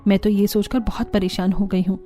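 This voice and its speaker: A woman's voice: mid-range voice, little pitch movement, fairly quick, dark tone, soft background music, natural voice. A woman voicing a weary, grumbling elder daughter-in-law with a resigned, knowing manner in a cartoon story.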